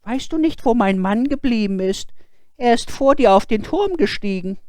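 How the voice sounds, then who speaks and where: A man's voice: extremely high pitch, expressive, moderate pace, darkish tone, smooth, no echo, studio quality, voice pushed up. A man voicing an anxious, indignant sexton's wife in a fairy tale, agitated and scolding. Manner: agitated, accusing. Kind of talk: fairy-tale storybook character voice.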